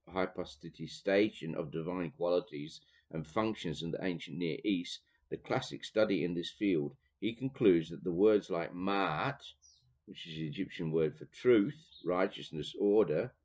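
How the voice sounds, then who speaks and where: A man with a Dutch accent, reading from a theology book and pausing to explain, with many fillers and restarts. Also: deep voice, darkish tone, lo-fi sound. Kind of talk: reading aloud with commentary.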